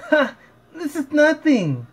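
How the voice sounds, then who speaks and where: A man voicing a brash, boastful young female cartoon pegasus pony with drawn-out delivery. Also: very high voice, huge pitch swings, pitched above the performer's own voice, heavily acted.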